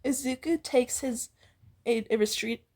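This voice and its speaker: Giggly high-voiced woman reading fan fiction. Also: a little slow, a little mellow. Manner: giggly, breaks into laughter, stumbles over the text. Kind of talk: giggly, casual read-aloud of fan fiction with character lines.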